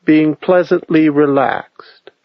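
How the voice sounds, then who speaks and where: Male meditation guide, slow and measured delivery, calm instruction, dry and slightly muffled sound. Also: a little high, no echo. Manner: unhurried, measured phrasing with long pauses.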